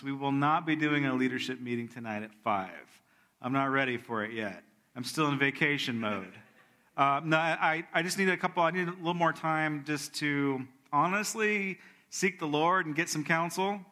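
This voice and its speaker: A middle-aged pastor with a bright, forceful voice, talking loosely to his congregation. He restarts phrases often and slips into a softer tone when he prays. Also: a little high, normal speed. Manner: conversational, self-correcting, prayerful. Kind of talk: sermon with announcements and prayer.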